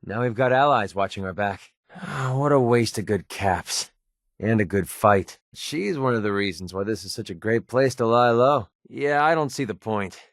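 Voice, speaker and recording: A man's voice: lowish voice, normal speed, dry recording, studio quality, lightly acted. An American man playing a cynical, wisecracking young mercenary in a video game, with a casual, sarcastic, slangy delivery. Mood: exasperated. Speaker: video game companion character.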